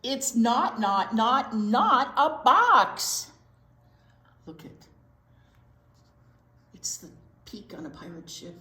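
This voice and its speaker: This is a woman reading aloud to small children with playful, exaggerated expression. Her voice swoops widely and sits fairly high. It is recorded in an echoey room with some background noise. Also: very animated, slow, long pauses, sharp tone. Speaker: children's storytime host and teacher. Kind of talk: read-aloud to young children. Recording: roomy echo, clear background noise.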